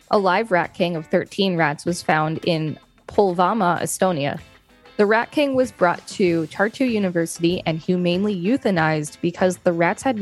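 A woman co-host reading an encyclopedia article aloud, with casual asides now and then. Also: on the low side.

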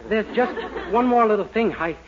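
A man's voice: high voice, moderate pace, crowd noise, natural voice. An actor in a 1940s radio comedy playing a naive, eager young man who earnestly muddles his words. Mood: meek, earnest. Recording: muffled, noisy 1940s radio recording.

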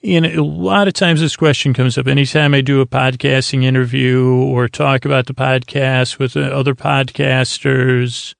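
Middle-aged male sleep-podcast host: heavy vocal fry, digressive and halting delivery, dry recording. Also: medium pitch, little pitch movement, no echo. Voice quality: gravelly, creaky. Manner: halting, digressive, trails off and restarts mid-sentence. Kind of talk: rambling, meandering bedtime monologue.

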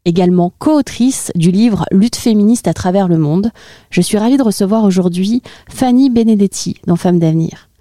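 A woman hosting a podcast, reading a clear introduction and asking interview questions. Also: mid-range voice, normal speed, dark tone, no room sound, clean studio sound. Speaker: podcast host.

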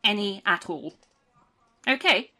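An actress playing a probing official in a dystopian audio drama, reassuring, procedural and a little patronising. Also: female voice, medium pitch, expressive, slow pace, creaky, pushed and bright, exaggerated voice. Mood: brisk, reassuring. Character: soothing, probing woman official of a dystopian programme. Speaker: interviewer. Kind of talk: audio drama dialogue, clinical interview.

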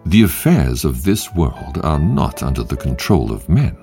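Slow, deep-voiced male devotional reader. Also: sing-song, warm tone, soft background music. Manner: measured, emphatic reading with long pauses. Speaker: podcast host and devotional reader. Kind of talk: devotional reading of short quotes.

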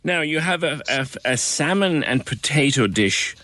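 A man presenting a casual radio chat, chatty and encouraging, with quick asides. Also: on the high side, animated, bright, forceful tone, no room sound, clean studio sound.

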